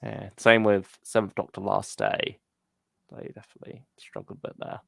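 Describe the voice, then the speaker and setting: A man's voice: lowish voice, sing-song, moderate pace, creaky, no echo. A man chatting casually as co-host of a fan discussion show, thinking aloud a little hesitantly. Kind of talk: casual chat, reading out viewer comments.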